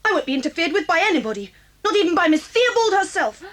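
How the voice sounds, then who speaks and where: Defiant schoolgirl, hot-tempered, snappish, high and very animated voice, radio-play acting. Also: fast, heavily acted. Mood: angry, defiant. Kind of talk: 1980s audio drama acting.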